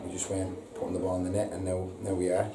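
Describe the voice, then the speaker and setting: A man, a football manager giving a halting post-match interview full of repeated words and ums. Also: low voice, completely flat delivery. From England. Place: indoor press room before a sponsor board. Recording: echoey room, distant mic, audible hiss.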